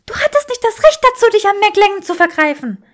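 A woman's voice: very high-pitched, sing-song, fairly quick, voice pushed up. A woman voicing an indignant, combative young witch in a fantasy audiobook. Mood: angry, indignant.

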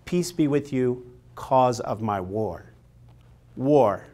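A man in his forties delivers a scripted literature lecture in a clean studio. His voice sits at a middle pitch, and he tells the material with expressive, emphatic storytelling. He is close on a lapel mic. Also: slow pace. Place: studio in front of a painted backdrop.

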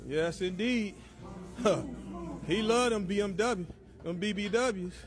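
A man's voice: high voice, takes it slowly. A man in his forties filming in a large indoor gym on a handheld phone, hyping lifters with chanted catchphrases and counting reps. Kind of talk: hype commentary on a workout. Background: loud music.

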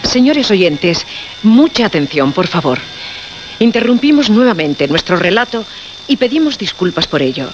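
An actress playing a radio announcer who reads a grave bulletin in a formal, deliberate way. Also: female voice, medium pitch, big pitch swings, soft background music, natural voice. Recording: dull radio recording, faint noise.

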